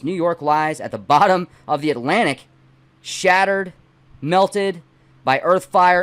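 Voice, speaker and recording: A middle-aged man, not a native English speaker, hosting and reading aloud in deliberate, emphatic phrases with casual asides. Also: a little high, a bit bright. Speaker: host reading a book aloud and commenting. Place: small dim indoor home studio.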